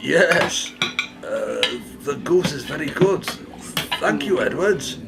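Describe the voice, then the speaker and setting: A man's voice: fairly high pitch, animated, slow, vocal fry, loud music behind the voice, full character voice. An actor playing a polite, earnest and anxious Welsh father in a radio play. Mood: uneasy, polite. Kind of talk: period radio play acting.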